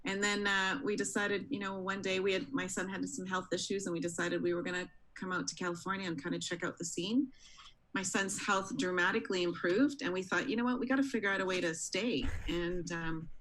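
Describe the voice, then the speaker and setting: A woman's voice: fairly low pitch, steady tone, a little fast, fairly bright tone, a little hiss or hum, poor audio. A woman from Tanzania, raised in Canada, a restaurant owner telling her story in a friendly, conversational interview. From born in Tanzania, raised in Canada. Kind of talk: casual interview chat.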